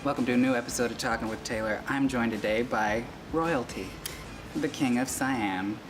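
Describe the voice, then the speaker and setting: A woman's voice: a bass voice, moderate pace, recorded from a distance, over music. A woman from the Ozarks hosting a casual interview, upbeat and chatty, often restarting her sentences. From Ozarks, USA. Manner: upbeat, chatty, repeats words when starting sentences. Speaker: host. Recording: off-mic with noisy background.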